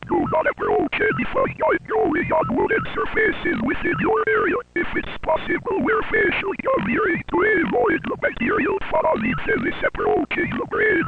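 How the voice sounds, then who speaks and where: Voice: huge pitch swings, moderate pace, vocal fry, long pauses, slightly rough, full character voice. A performer voicing a grim, menacing armed-forces announcer in an analog horror emergency broadcast, through a distorted radio effect. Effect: pitched-down distorted radio voice. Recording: muffled, distorted phone-like radio transmission.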